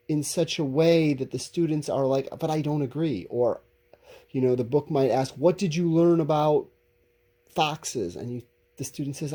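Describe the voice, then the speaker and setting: A male teacher with a soft, mellow tone and a lively, swooping melody as he talks to students. He explains things conversationally and offers his own opinions candidly, in a somewhat husky voice. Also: on the high side. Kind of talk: informal recorded lesson, talking to students.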